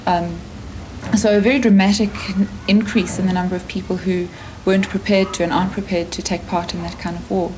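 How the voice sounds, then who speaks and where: A woman with a South African accent answering in an unscripted interview as a campaigner, pausing and rephrasing as she goes. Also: on the low side, level tone, a little mellow, slight background noise, low-quality recording. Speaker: anti-conscription campaigner.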